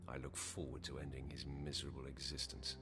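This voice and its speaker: A man's voice: rumbling low, flat delivery, brisk. An actor playing a bloodthirsty, impatient male warrior in a video game, speaking in a blunt, clipped, menacing way. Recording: loud music behind the voice.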